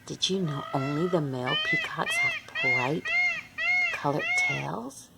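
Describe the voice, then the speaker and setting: Woman reading to children, very animated pitch. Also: unhurried, vocal fry, heavy background noise. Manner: expressive, addressing young children with questions and exclamations; acts out animal sounds. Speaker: host and reader of a children's read-along. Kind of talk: children's picture-book read-aloud.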